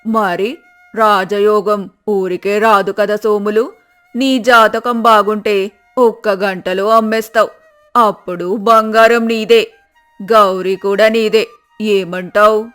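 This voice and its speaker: A woman's voice: mid-range voice, fairly even intonation, takes it slowly, fairly bright tone, clean tone, faint music behind. A woman voicing a shrewd older village innkeeper in a folk tale, sly and persuasive. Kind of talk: dramatized folk tale acting.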